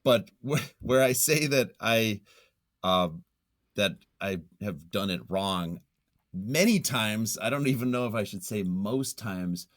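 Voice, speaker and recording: Deep-voiced, hesitant man in interview. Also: lively intonation, takes it slowly, fairly bright tone. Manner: hesitant, self-effacing, thoughtful. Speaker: interview guest, nonfiction writer. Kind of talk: reflective interview conversation.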